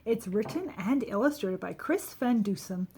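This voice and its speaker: A woman reading a rhyming children's picture book aloud, expressively, with dramatic emphasis and drawn-out key words. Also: mid-range voice, lively intonation, a little mellow, slight background noise. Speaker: storybook reader.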